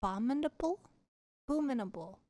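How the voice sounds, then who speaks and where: A woman livestreamer casually chatting with her viewers and telling personal stories in an animated, candid, giggly way, swearing freely. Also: sits high, a little fast. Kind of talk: casual chat and personal storytelling.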